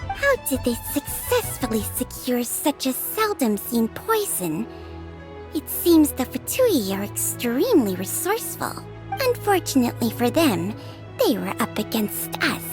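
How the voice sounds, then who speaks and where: A woman voicing a small, curious fairy companion girl with a squeaky childlike voice in a video game. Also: wildly swooping pitch, measured pace. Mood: smug. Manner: refers to self in third person, exclamatory. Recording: music behind the voice.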